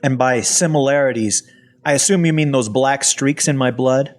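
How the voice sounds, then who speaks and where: A man's voice: mid-range voice, normal speed, lightly acted. A man playing a wry, sarcastic infected man who narrates his own story in an audio drama. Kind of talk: first-person audio drama narration and acting.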